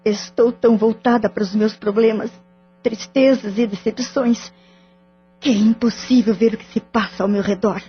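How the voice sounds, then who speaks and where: An actress playing a wounded, betrayed wife who speaks in a curt, cold and bitter way, in an audio drama. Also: female voice, fairly high pitch, fairly even intonation, normal speed, slightly rough. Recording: dull lo-fi audio with faint music.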